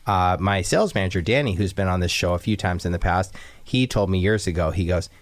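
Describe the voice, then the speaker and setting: A man hosting a casual radio talk show, a bit hoarse from a cold, upbeat and rambling with lots of fillers, talking into a studio microphone. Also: sits low, a little fast, dry recording.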